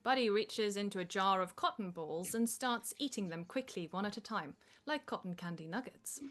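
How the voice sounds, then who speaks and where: A woman's voice: mid-range voice, expressive, normal speed, fairly bright tone, faint music behind. A woman narrator reading screenplay stage directions aloud.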